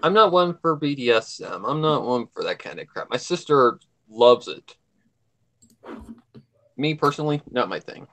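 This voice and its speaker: Man, average pitch, casual livestream guest, rambling, emphatic, repetitive phrasing. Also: expressive, normal speed, bright, forceful tone. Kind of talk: casual chat.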